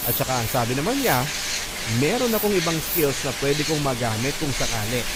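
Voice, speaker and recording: A man narrating a personal letter in the first person, as a dramatic storyteller. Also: medium pitch. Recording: loud music under the voice.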